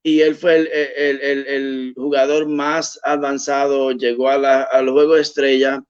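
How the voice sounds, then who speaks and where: Slow, hesitant man telling his story. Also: mid-range voice, flat delivery, clear, resonant tone. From United States. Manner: hesitant, many fillers and restarts. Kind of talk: personal storytelling. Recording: dull lo-fi sound.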